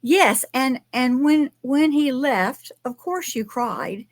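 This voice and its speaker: A woman with a Texas accent hosting an interview, speaking in a soft, measured way. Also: sits high, lively intonation. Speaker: podcast host. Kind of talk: interview conversation.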